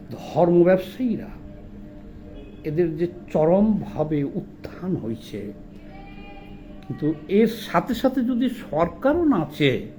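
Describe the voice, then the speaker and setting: Male political commentator, Bangladeshi accent, high-pitched, indignant and emphatic, faint music. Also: darkish tone. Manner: indignant, emphatic, rhetorical questioning. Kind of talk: impassioned political monologue.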